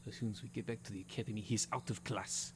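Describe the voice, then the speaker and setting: A man's voice: fairly low pitch, fairly even intonation, fast, a little hiss or hum, close to an everyday voice. A man playing a stern, pedantic half-vampire professor with an Albanian accent and a formal, exasperated manner. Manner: formal, pedantic, article-dropping speech.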